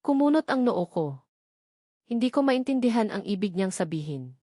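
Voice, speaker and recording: A woman's voice: medium pitch, sing-song, moderate pace, mellow, no echo, studio quality. A woman giving a scripted first-person narration of a dramatic novel. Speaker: story narrator.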